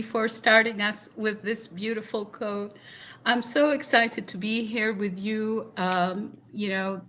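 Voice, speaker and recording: Slow, earnest woman from Iraq. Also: mid-range voice, fairly bright tone. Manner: slow, earnest, with many fillers. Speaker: guest speaker. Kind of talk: reflective podcast talk.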